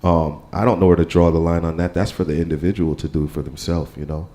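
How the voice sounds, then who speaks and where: A man with a low voice and an American accent, speaking slowly and thinking aloud at a live panel. His tone is warm and mellow, and he keeps asking whether you follow him. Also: level tone. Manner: rambling, keeps saying "you know what I'm saying". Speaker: panel guest, percussionist. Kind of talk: casual panel talk. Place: live radio studio with audience.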